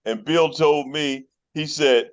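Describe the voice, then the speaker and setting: A man's voice: on the high side, slow, muffled recording. Chatty middle-aged man on a call. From Chicago North Side. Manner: friendly storyteller, many fillers. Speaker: guest. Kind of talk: casual phone call chat.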